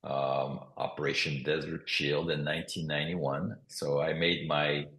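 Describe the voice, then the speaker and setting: A man from Morocco and Canada with a mixed accent, telling his story in a relaxed way. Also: rumbling low, unhurried, echoey room. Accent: mixed foreign. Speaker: guest entrepreneur. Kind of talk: interview conversation.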